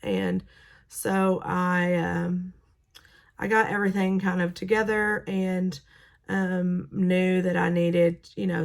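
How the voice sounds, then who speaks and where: A young woman with a raspy, hoarse voice, sick and talking through her story casually with many pauses and ums. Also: lowish voice. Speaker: teacher. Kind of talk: personal vlog recounting illness.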